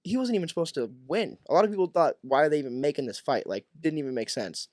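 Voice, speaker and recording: A man with a Boston accent hosting a podcast, chatting casually, excitable and swearing freely. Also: fairly high pitch, quick pace, no echo, clean studio sound. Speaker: podcast host. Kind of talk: casual chat.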